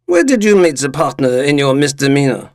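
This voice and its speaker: A man's voice: mid-range voice, very animated, a little fast, gravelly. An actor playing a pompous, amused male village mayor who questions people incredulously in an audio drama of a short story. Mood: stern.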